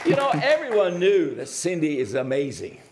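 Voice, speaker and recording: A man hosting a church meeting, welcoming guests through an interpreter in a joking, halting way full of fillers. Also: fairly high pitch, wildly swooping pitch. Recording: crowd and faint noise behind.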